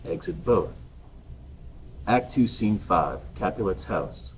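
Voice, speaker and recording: A man's voice: low voice, expressive, slow pace, slight background noise, poor audio. A man reading stage directions as a scripted narrator.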